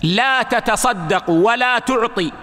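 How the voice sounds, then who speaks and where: A man giving a religious lesson, explaining texts in a measured, teaching way and addressing his listeners as brothers. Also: extremely high pitch, sharp tone, in a large hall.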